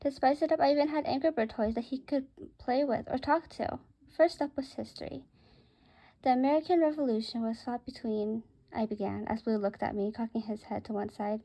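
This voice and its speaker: A woman with a high-pitched voice reads a first-person story aloud. She narrates it as written, occasionally tripping over a phrase and going back over it. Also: fairly even intonation, fairly quick, warm tone, poor audio. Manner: stumbles and rereads phrases. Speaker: author reading own story aloud.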